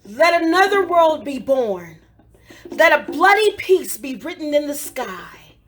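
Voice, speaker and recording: A woman recites poetry solemnly and very slowly, pausing between short phrases. Her voice sits a little high and carries a dramatic, measured delivery. African drums play clearly behind her. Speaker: poetry reader. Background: African drum music.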